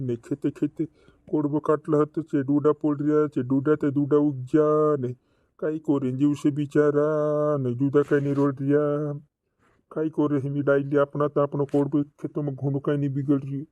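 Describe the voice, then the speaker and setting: A man's voice: on the high side, monotone, moderate pace, warm tone, no echo, natural voice. A man voicing a fretting cartoon villager with repetitive, doubled words, in a dull lo-fi recording. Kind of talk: regional comedy cartoon dialogue. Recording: dull lo-fi dry audio.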